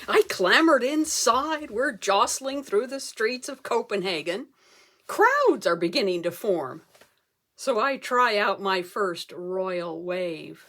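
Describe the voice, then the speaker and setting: High-pitched woman, animated, unhurried storyteller. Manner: theatrical, with dramatic pauses and emphasis. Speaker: club speaker telling a humorous tall tale. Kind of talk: performed comic storytelling speech.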